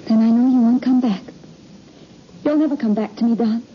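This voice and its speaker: An actress playing an anxious, imploring wife with theatrical emphasis in an old radio suspense play. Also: female voice, a little high, a little fast, lightly acted. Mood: anguished, pleading. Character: anxious, pleading young wife of a spaceman. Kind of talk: melodramatic old-time radio acting. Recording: muffled, noisy old radio recording.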